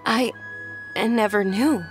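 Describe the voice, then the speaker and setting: An actress playing a soft-hearted, earnest young lady in a video game. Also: a little high, takes it slowly. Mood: touched. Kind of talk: dramatic video game dialogue. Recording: music behind the voice.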